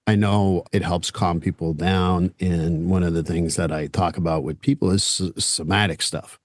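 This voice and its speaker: Deep-voiced French Canadian man, blunt. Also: steady tone, moderate pace. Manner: blunt, swears casually. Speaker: podcast host. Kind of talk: casual interview chat.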